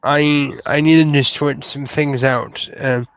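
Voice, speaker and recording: A man's voice: on the high side, steady tone, clear, resonant tone, no echo, low-quality recording. A man playing a hesitant, courteous young troll in a live roleplay, stammering and choosing formal words. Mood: earnest, unsure. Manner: halting, stammering, formal wording. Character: hesitant, courteous young newly made troll, earnest would-be knight.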